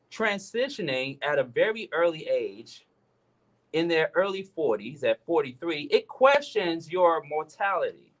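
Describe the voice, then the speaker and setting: A man hosts a radio talk show. His pitch is fairly high, and it swings widely from line to line. He takes his time and speaks to the audience in an earnest, direct way. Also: fairly bright tone. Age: 30s. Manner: earnest, addresses listeners directly.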